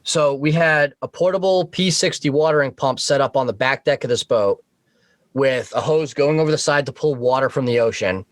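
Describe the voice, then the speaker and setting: A man tells work stories as a guest on a casual talk show. His language is informal and sweary, his pitch sits in the middle, and the sound is dry and close. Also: moderate pace, no echo. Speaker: podcast guest, Coast Guard boat operator.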